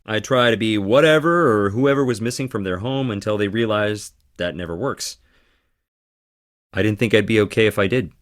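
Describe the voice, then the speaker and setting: A man's voice: on the low side, normal speed, lightly acted. A man playing a wry, guarded young theatre worker in an adventure game, speaking conversationally. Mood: guarded, reflective. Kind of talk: scripted adventure game dialogue.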